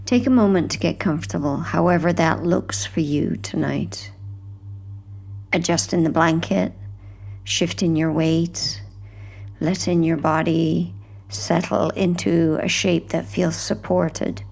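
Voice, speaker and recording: A woman with a low voice narrates a guided sleep hypnosis. Her delivery is very slow and gentle, with a pronounced creak in the tone. Phrases are hushed and soothing, fading softly at their ends. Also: steady tone. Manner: gentle, hushed, unhurried, with soft trailing phrase endings.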